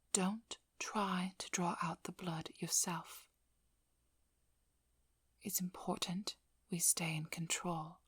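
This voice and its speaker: A woman playing a composed, guarded female vampire hunter in an ASMR role-play, breathy and often whispering, with controlled, firm delivery. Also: lowish voice, a bit bright, dry recording, full character voice. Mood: calm, hypnotic. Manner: slow, soothing instructions, firm and controlled. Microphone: close ASMR microphone.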